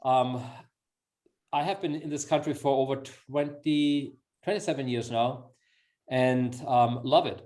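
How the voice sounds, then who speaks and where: A man's voice: medium pitch, a little slow, a little mellow. A middle-aged man from Austria with an Austrian accent, a native German speaker, hosting an upbeat, chatty webinar over a video call in an effusive, encouraging manner. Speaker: webinar host, sales executive.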